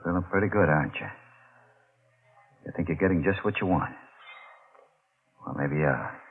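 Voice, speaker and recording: An actor playing a brooding, guilt-ridden deep-sea diver in an old-time radio mystery, speaking in a tense, halting way. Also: male voice, slightly rough. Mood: bitter, uneasy. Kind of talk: old-time radio drama acting with narrated inner monologue. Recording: muffled, noisy old radio recording.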